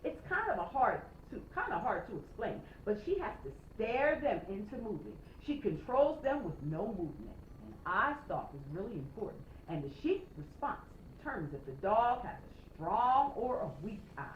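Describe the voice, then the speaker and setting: A woman reading a children's novel aloud expressively as a storytime reader, with dramatic, emphatic pauses. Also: mid-range voice, sing-song. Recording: roomy, slightly noisy, dull home recording.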